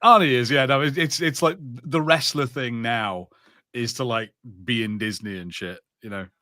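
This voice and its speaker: A man's voice: mid-range voice, moderate pace, pushed and bright, dry recording, studio quality. A man co-hosting a podcast in casual film chat, joking and swearing freely. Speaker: podcast co-host. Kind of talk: casual watch-party chat about films.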